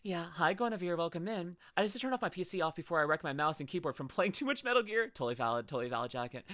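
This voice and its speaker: Bright young woman gushing on stream. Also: low voice, no echo, studio quality. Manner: gushing, effusive, lots of restarts. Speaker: streamer reacting to videos. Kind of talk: casual live-stream chat.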